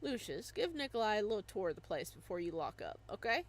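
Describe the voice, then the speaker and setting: A woman doing a brisk, genial shop boss man. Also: mid-range voice, big pitch swings, close to an everyday voice. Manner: bossy, upbeat.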